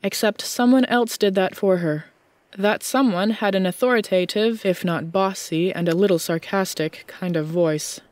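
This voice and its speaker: A woman's voice: medium pitch, normal speed, a little mellow, dry recording. A woman reading scripted fiction as the narrator of an audiobook.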